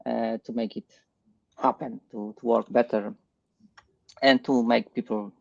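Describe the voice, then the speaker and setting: A middle-aged man with a Polish accent gives a live tech presentation, speaking haltingly and repeating words. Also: medium pitch, level tone, creaky, dull audio. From Poland. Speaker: guest presenter. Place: online livestream session.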